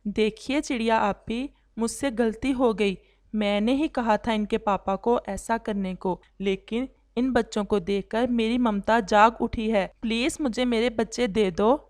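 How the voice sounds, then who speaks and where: A sly, excitable cartoon mother crow, played by a woman. Her voice is fairly high, with a coaxing, animated way of talking. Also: measured pace, lightly acted. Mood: pleading. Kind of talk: Hindi moral-story cartoon dubbing.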